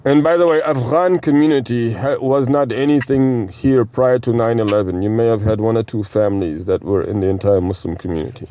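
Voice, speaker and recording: Man, interviewer, casual discussion, probing follow-ups, warm relaxed tone. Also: medium pitch, brisk, few pauses. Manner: probing, asks follow-up questions.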